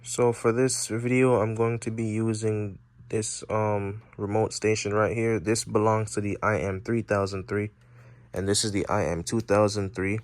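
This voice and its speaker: A man giving an informal, unscripted how-to tutorial, explaining step by step in a halting way. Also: on the low side, flat delivery. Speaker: presenter of a how-to repair video.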